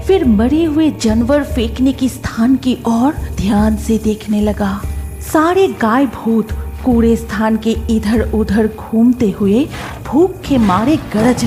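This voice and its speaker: A woman's voice: on the high side, takes it slowly, music in the background. A woman narrating a scripted cartoon horror story in a dramatic storytelling manner.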